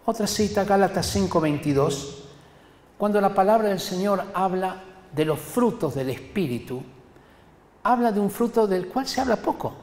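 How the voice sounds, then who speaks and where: An older man from Argentina, preaching a sermon in an emphatic, deliberate manner and addressing his listeners directly. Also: sits high, expressive, a little mellow. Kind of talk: sermon to a congregation. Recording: echoey hall with long reverb.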